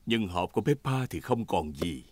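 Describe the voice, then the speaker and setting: A man narrating a children's cartoon from a script in an expressive storytelling way. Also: deep voice, normal speed, a little hiss or hum. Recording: music behind the voice.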